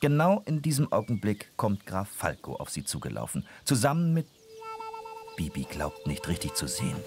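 A man's voice: fairly low pitch, huge pitch swings, moderate pace, music in the background. A man giving scripted narration for a children's audio drama.

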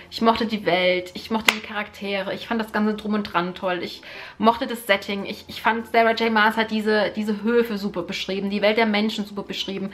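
A woman's voice: mid-range voice, a bit bright. Young german woman gushing over a book series, lots of ähm and repeats, sitting on her sofa. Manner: gushing, enthusiastic, many fillers and repeated words. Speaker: book vlogger. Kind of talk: casual book review. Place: living room in front of a sofa.

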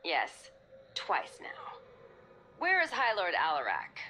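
A woman's voice: lowish voice, huge pitch swings, slow, noisy background, heavily acted. A woman playing a tough young special operative in a video game, terse, guarded and dry. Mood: cool, dry. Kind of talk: video game cutscene acting. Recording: loud game music behind.

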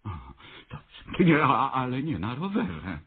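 Nieśmiały, jąkający się trębacz. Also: male voice, medium pitch, wildly swooping pitch, slow, breathy edge, narrow, tinny audio, heavily acted. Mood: meek, resigned. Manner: hesitant, stammering, apologetic. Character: timid, meek, clumsy small-town trumpeter, a man. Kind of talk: radio comedy play acting.

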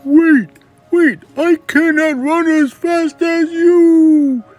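A man voicing a timid, lonely storybook frog, scratchy and halting, earnest in his pleading. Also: fairly low pitch, sing-song, mellow, husky, faint music behind, exaggerated voice. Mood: desperate pleading.

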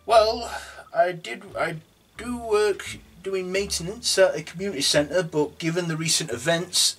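A man's voice: fairly high pitch, slightly rough. Rambling young man. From Yorkshire, England. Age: 20s. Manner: rambling, with restarts, reading questions aloud. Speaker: YouTuber. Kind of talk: casual tag video. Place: room at home, indoors.

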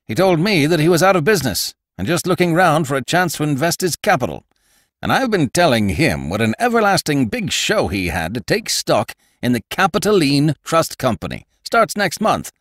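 A man's voice: a little high, sing-song, moderate pace, a bit bright, no echo, clean studio sound, voice pushed up. A man voicing a bluff, pushy retired major talking up an investment. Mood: blustering. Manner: blustering, salesy. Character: bluff male major, pushy stock promoter.

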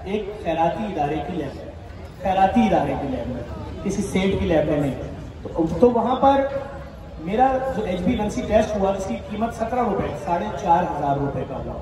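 A man with a Pakistani Urdu accent addressing a crowd outdoors, indignantly explaining costs into a handheld microphone. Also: high voice. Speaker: charity organizer addressing a crowd. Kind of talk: public speech. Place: outdoor night gathering with a crowd. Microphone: handheld microphone, filmed from a distance. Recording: echoey, distant, crowd noise behind.

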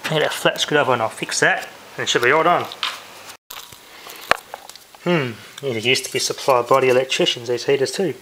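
Aussie guy muttering through a diy fix, medium pitch, noisy camera mic with clicks. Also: moderate pace, pauses often. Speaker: DIY vlogger. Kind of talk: casual hands-on repair commentary. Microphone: handheld camera's built-in mic.

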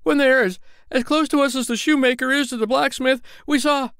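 A man voicing a small, boastful, jumpy tailor in a storybook reading, shrill and excitable. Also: measured pace, clear, resonant tone, no room sound, studio quality. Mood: frantic.

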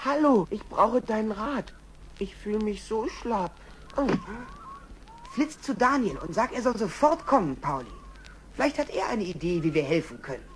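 A woman's voice: medium pitch, expressive, moderate pace, slight background noise, natural voice. A woman playing a brave, determined young male lion cub in a children's radio play. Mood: weak.

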